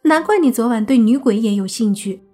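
A woman's voice: a little high, expressive, brisk, dark tone, soft background music. Woman acting a snippy, sarcastic young student. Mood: irritated. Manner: irritated, sarcastic.

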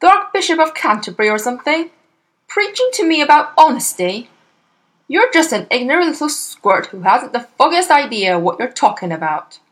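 Lady reading as a bragging shady car dealer dad, loud and smug, pitch jumps around. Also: sing-song, normal speed, poor audio. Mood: angry. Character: boastful crooked male car dealer father.

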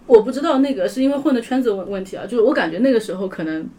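A woman's voice: a little high, lively intonation, racing, warm tone, slight background noise. A woman chatting casually as one of the panelists on a podcast. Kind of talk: casual chat.

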